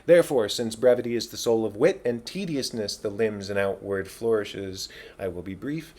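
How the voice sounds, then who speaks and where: A man's voice: fairly low pitch, moderate pace, a little mellow, natural voice. A man playing a long-winded, self-important old counsellor in a dramatic Shakespeare reading, pompous and rhetorical. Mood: officious, declaiming. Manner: pompous, rambling, rhetorical, digressing.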